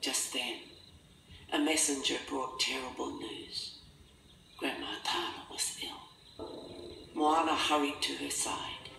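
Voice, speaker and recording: A man narrating a scripted children's story in a measured, expressive storytelling manner. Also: medium pitch, gravelly, background noise. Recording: roomy, distant, music behind.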